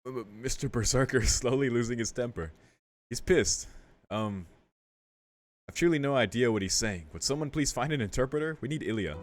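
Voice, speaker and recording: A man's voice: medium pitch, animated, a little fast. A man hosting a game playthrough, talking in a slangy, jokey way and reading the game's text aloud. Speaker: gaming video host. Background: game music.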